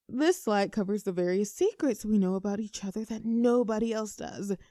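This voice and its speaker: A woman's voice: mid-range voice, mellow, no room sound, studio quality, lightly acted. A woman acting a nervous, earnest best friend in a roleplay audio, addressing the listener like a mock-formal presenter. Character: nervous, earnest young female best friend confessing a crush.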